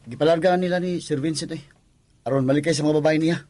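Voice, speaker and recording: Man acting household gossip, Visayan Filipino accent, somewhat high pitch, dry sound, radio drama. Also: fairly even intonation, fast talker, a little mellow, dry recording, natural voice. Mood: gossipy.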